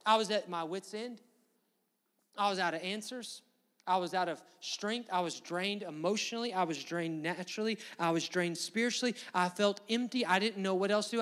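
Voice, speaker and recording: A man's voice: high voice, a bit bright. A male pastor with a Southern Alabama accent preaching a fervent sermon to a congregation, emphatic, repetitive and exhorting. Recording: long hall reverb.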